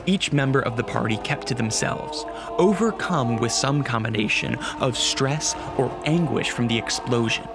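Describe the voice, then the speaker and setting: An actor playing a solemn storyteller, delivering scripted dramatic narration for a monster adventure radio drama. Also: male voice, mid-range voice, natural voice. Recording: music bed behind voice.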